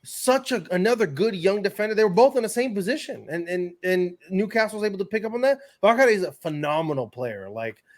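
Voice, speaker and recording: A man's voice: high-pitched, lively intonation, fairly quick, hardly any pauses. A man co-hosting a casual soccer podcast, giving emphatic, slangy opinions in conversation. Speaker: podcast co-host and soccer pundit. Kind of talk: casual sports discussion.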